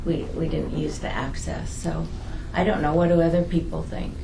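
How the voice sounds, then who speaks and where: A woman's voice: low voice, little pitch movement, moderate pace, creaky, warm tone, reverberant, a little hiss or hum, low-quality recording. A woman in a committee meeting, talking casually and hesitantly, often trailing off. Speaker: committee member. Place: meeting room.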